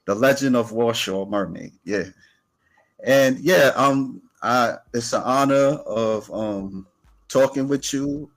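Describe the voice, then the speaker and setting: A man's voice: medium pitch, slow pace. A man hosting an interview in a casual, hesitant way, with many fillers. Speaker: podcast host.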